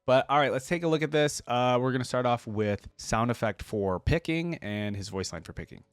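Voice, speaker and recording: A man's voice: mid-range voice, a little fast, smooth. A man hosting a reaction video, giving casual, informal commentary as he thinks aloud.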